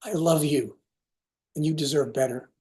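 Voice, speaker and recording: An actor as a dark-voiced, remorseful father. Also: fairly high pitch, moderate pace, natural voice. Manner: earnest, pleading, apologetic. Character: remorseful middle-aged father pleading with his estranged adult son. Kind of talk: staged reading of a contemporary play.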